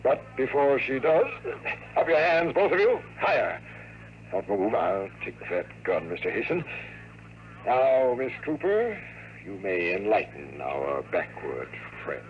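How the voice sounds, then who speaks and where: An actor playing a scheming, power-hungry time traveler in 1950s radio drama, speaking with precise, menacing politeness. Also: male voice, on the low side, big pitch swings, a little slow. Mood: commanding. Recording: noisy old radio drama recording.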